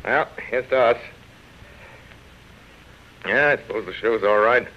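An actor playing a vain, bitter movie star of about forty in theatrical old-time radio drama style. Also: male voice, medium pitch, measured pace, lightly acted. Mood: boastful. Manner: theatrical, self-important. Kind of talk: 1930s-40s radio drama acting. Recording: muffled vintage radio broadcast.

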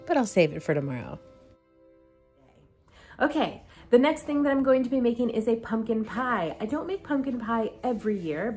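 A woman's voice: medium pitch, darkish tone. Cheerful woman in her 30s talking thru recipes at home, camera close, some music under. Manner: chatty, upbeat, walks through recipes step by step. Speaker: vlogger. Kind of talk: casual cooking vlog and voice-over. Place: home kitchen, then a room with bookshelves.

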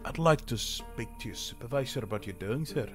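A man's voice: mid-range voice, expressive, a little fast, a bit bright, faint music behind, lightly acted. A man playing an officious detective in a fantasy audio drama, with a businesslike, questioning manner. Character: Detective Ashe, brisk, officious portly male investigator.

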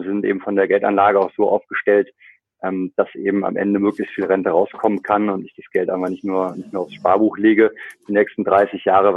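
Man, startup founder, chatty with lots of ums, low voice, tinny dry phone line. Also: husky, no echo. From Germany, Cologne. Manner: chatty, many fillers and restarts, laughs often. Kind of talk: podcast interview.

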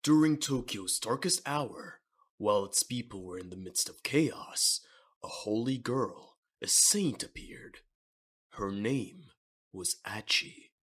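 A man with a husky voice reading scripted dramatic narration for a manga audio drama. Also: on the low side, expressive, slow pace, fairly bright tone.

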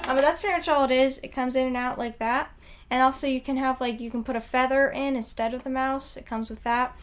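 A young woman co-hosting a casual product review at a home desk, her voice a little raspy from just waking up, chatty with many fillers. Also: fairly even intonation, fast, slight background noise. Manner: chatty, mock infomercial pitch, many fillers. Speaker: co-host.

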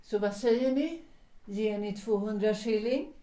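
In a roomy echo, a woman acts a proud widow from a period radio play. Her voice is mellow and formal, deliberate and coolly commanding. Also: mid-range voice, natural voice. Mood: coolly bargaining. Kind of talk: Swedish radio drama dialogue. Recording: echoey room.

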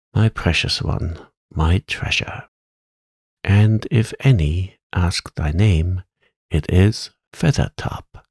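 A doting old witch as a man reads her, coaxing in quaint thee-and-thou speech with a sharp edge. The voice stays low and gravelly, at an unhurried pace. The sound is dry and close. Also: no echo, full character voice. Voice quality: high, sharp tone. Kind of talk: audiobook character reading.

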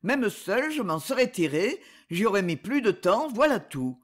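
Lady reading as a chill know-it-all boy, steady and easy, storybook. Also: mid-range voice, normal speed. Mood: calm. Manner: calm, matter-of-fact. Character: calm boy who remarks on everything.

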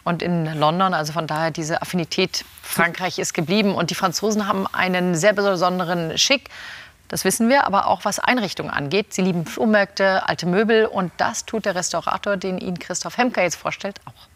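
A woman's voice: on the low side, a little fast, fairly bright tone, no room sound. A woman in her fifties hosting a TV talk show, asking friendly questions and nodding along with frequent tag questions. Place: TV studio set.

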